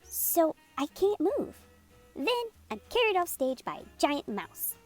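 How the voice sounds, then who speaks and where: Woman as shy little ballet student, high pitch, lively intonation, earnest, childlike, storybook character. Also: slow pace, soft background music, exaggerated voice. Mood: earnest explaining. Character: eager, shy young ballet-student girl.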